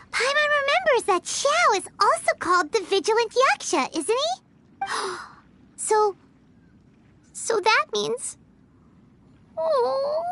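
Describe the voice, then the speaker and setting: A woman's voice: very high-pitched, sharp tone, slight background noise, full character voice. A woman voicing a tiny, chatty fairy companion in a video game who talks about herself in the third person and is eager and nosy. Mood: sympathetic. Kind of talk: video game cutscene voice acting. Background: game music.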